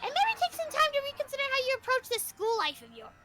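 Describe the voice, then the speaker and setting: A woman's voice: very high voice, wildly swooping pitch, fast talker, heavily acted. A woman voicing a gleefully cruel, mocking little robot bear villain for a fan dub of a game, with taunting, sing-song, theatrical delivery. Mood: dismissive, sinister. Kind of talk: fan voice-over of a visual novel game. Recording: game music behind the voice.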